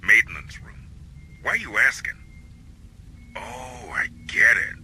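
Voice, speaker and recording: Sci-fi game operative: a laconic, sardonic military man, very sharp forceful tone, mid-pitched, slight background noise. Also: animated, takes it slowly, full character voice. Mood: sardonic, measured. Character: wry, battle-ready male military operative advising marines. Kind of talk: video game military dialogue.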